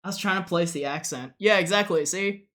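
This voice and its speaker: A young Australian streamer chats loosely on a live stream, with an Australian accent. The voice sits at a middling pitch. They ramble, restart sentences and laugh often. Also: brisk, fairly bright tone. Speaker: streamer, channel host. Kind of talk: casual stream chat.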